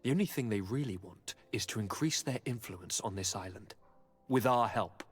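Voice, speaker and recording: An actor playing a young noble governor in a video game, sickly and restless, with a theatrical, courtly and expressive delivery. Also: male voice, lowish voice, normal speed, natural voice. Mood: animated, bored, scornful. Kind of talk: video game cutscene dialogue.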